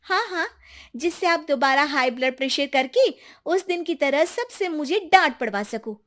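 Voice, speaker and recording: High-pitched, sarcastic young daughter-in-law, played by a woman. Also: normal speed. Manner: sarcastic, back-talking, expressive. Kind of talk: acted Hindi family story cartoon.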